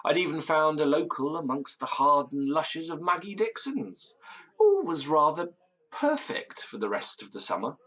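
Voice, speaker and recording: An actor playing a nervous, theatrical, disgraced gentleman in an audio drama, with florid old-fashioned diction and a tendency to stammer. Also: a little high, big pitch swings, normal speed, full character voice, poor audio. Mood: uneasy, reminiscing. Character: nervous, theatrical, hard-drinking disgraced university gentleman storyteller.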